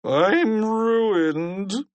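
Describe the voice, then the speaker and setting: A man's voice: a little high, huge pitch swings, slow pace, no echo, pitched above the performer's own voice, exaggerated voice. A man voicing a whiny, flustered middle-aged male school principal in a storybook. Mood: whining despair.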